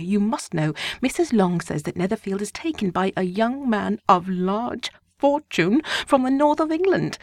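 Woman reader doing a high, shrill, fussy mother of five, gossiping and pushy, dry close storybook sound. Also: dry recording. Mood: gushing. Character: excitable gossiping middle-aged mother of five.

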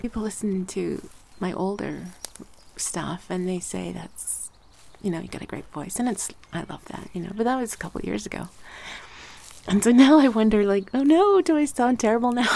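A woman voice performer chatting gently in an ASMR roleplay, slipping between soft speech and whispers, a little congested from a cold. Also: medium pitch, expressive, normal speed, creaky, a little hiss or hum.

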